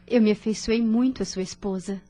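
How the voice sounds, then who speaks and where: An actress with a Brazilian accent playing a kind, modest twenty-year-old nurse in an audio drama, polite and consoling. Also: female voice, mid-range voice, steady tone, fairly quick, soft background music, natural voice. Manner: polite, gentle, formal. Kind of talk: Portuguese-language audio drama acting.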